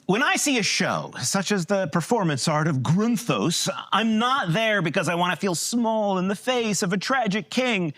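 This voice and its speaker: An actor playing a pompous, excitable male starship captain in a live sci-fi comedy, speaking in a grandiose, theatrical manner. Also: mid-range voice, normal speed, few pauses. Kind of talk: live staged science-fiction audio comedy.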